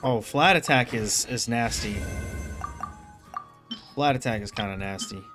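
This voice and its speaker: A man's voice: medium pitch, measured pace. Casual man streaming over background music. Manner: rambling, thinking aloud. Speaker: game streamer. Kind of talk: casual gaming commentary.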